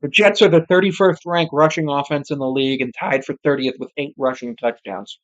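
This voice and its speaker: An amateur commentator giving casual live football play-by-play, with muttered asides and self-corrections, sex not clear from the voice. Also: mid-range voice, fairly even intonation, a little fast, dull audio.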